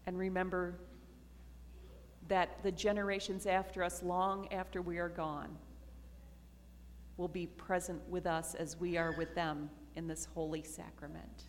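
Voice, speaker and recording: A woman pastor giving a slow, earnest communion sermon to her congregation in a church. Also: on the low side, flat delivery, a little hiss or hum. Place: church sanctuary. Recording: reverberant church hall.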